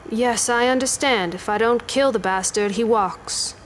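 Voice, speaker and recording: A woman's voice: on the high side, a little slow, slight background noise, natural voice. A woman playing a weary, defiant wife in an audio drama, speaking in a resigned, wry way. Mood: guarded, wry. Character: weary, defiant wife living under a totalitarian regime.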